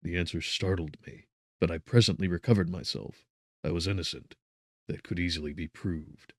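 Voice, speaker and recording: A man with a very deep voice narrates gothic fiction. His delivery is dramatic, solemn and measured. The recording is dry, with hardly any room around the voice. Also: steady tone, dry recording. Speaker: audiobook narrator.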